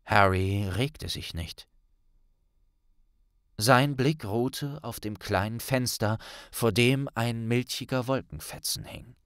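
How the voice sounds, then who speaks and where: Male audiobook reader for dramatic fiction, measured pace, dry recording. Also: medium pitch, clean tone, dry recording. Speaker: audiobook narrator. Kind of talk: dramatic scripted story narration.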